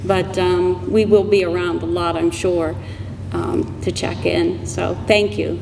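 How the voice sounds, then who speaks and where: A woman in her sixties giving an informal, unscripted thank-you speech in a halting, conversational way. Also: lowish voice, fairly even intonation, vocal fry. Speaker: donor giving thanks at a ceremony. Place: large room, public event. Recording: echoey hall with background noise.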